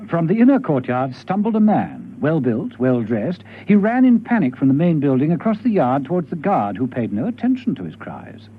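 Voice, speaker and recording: An actor narrating a 1970s radio spy serial in a grave, dramatic style. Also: male voice, on the high side, huge pitch swings, moderate pace, few pauses, husky, heavily acted. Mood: suspenseful. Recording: dull vintage radio recording.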